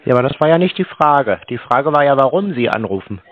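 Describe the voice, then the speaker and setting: A man's voice: medium pitch, lively intonation, fast talker, dry recording. A man talking on a phone call in a dry, sceptical, questioning way. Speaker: show host answering a scam call. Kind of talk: phone conversation.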